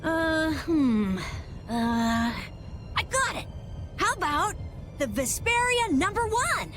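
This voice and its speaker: A woman's voice: very high voice, sing-song, slow, a bit bright, full character voice. A woman voicing an eager, naive and loyal young kid adventurer in an anime game dub, with music behind. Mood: eager excited.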